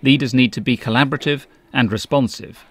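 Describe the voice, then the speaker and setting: A man narrating a business news feature in a crisp, measured, scripted voice-over. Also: mid-range voice, fairly even intonation, a little hiss or hum. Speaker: journalist narrator. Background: loud background music.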